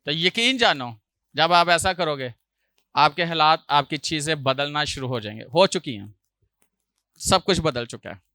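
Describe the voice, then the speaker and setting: A male pastor, preaching a sermon with a sore throat, often clearing it and rising loudly on hallelujah. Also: on the high side, lively intonation, a little slow, dry recording. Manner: preaching with loud rises on hallelujah and jokey asides.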